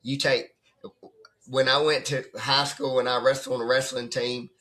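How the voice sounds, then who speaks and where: A hoarse man who has nearly lost his voice, talking as a trading coach in a rambling, conversational way and often checking in with "right?". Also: mid-range voice, flat delivery, moderate pace, pushed and bright, rough recording. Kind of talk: casual off-the-cuff coaching talk. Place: at his computer desk.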